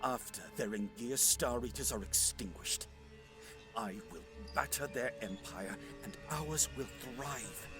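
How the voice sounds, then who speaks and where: An actor playing an arrogant, hot-tempered alien warrior lord in a science fiction audio drama, with a haughty, theatrical manner. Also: male voice, mid-range voice, unhurried, music in the background, natural voice. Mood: resolute.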